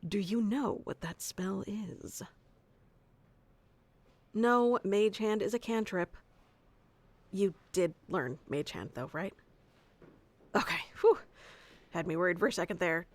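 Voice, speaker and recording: Woman acting a bossy, slow-talking tsundere wizard mentor. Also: medium pitch, no echo. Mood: bossy, flustered. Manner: bossy teasing, stammering when flustered. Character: haughty, flustered tsundere young female wizard mentor. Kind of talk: ASMR roleplay acting addressed to the listener.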